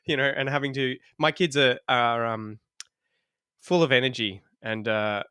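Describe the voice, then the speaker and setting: A man's voice: medium pitch, normal speed, clean tone, no room sound, studio quality. A man in his thirties from Australia, an English teacher chatting casually as a podcast guest, laughing often while he tells stories. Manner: laughs often, relaxed storytelling. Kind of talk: casual chat.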